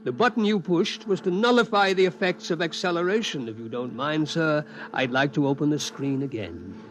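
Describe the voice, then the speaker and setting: A man's voice: on the high side, lively intonation, lightly acted. Man acting a courteous, stubborn Martian, faintly noisy recording. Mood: polite. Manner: courteous, measured, formal. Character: polite, stubborn male Martian posing as human inventor. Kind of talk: 1950s radio drama acting. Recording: noisy vintage 1950s radio recording.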